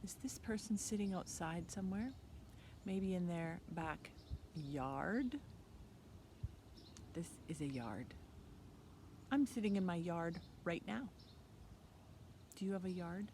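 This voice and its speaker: Woman, 50s, children's storytime host, unhurried and simple, outdoors with clear background noise. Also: lowish voice, darkish tone. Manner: simple short phrases, encouraging questions to children. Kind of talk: interactive preschool storytime talk. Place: outdoors in a leafy backyard.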